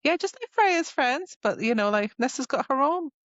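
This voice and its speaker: A woman's voice: high voice, expressive, normal speed, no room sound, dull audio. A woman co-hosting a podcast, chatting casually about books in a talkative way, with lots of "like" asides. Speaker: podcast co-host. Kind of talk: casual book discussion.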